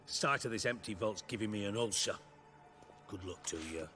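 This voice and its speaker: Cynical low-voiced crime boss, music behind. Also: male voice, normal speed, pushed and bright. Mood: dry, resigned. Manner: sardonic, blunt, foul-mouthed. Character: cynical, gruff former spymaster turned crime boss, middle-aged man. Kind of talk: dramatic video game dialogue.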